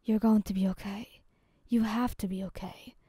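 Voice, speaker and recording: Girl doing a fierce protective young guy from a story, terse n intense, mellow tone, dry sound. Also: medium pitch, fairly even intonation, normal speed, husky, no echo. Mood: pleading. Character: fierce, protective young man devoted to his partner.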